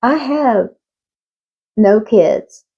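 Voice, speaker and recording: Slow-talking Southern woman in her fifties. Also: on the high side, lively intonation, breathy edge, highs cut off. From Southern United States. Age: 50s. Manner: chatty, frank, laughs between thoughts. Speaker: vlogger. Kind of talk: casual talk to camera.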